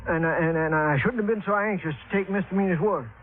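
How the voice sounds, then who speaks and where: High-voiced, anxious insurance agent, played by a man. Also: fast talker, lightly acted. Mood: worried, sheepish. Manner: hesitant, worried, sheepish, folksy. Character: anxious, gullible male small-town insurance agent. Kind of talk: 1950s-60s radio drama acting. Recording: tinny old radio recording.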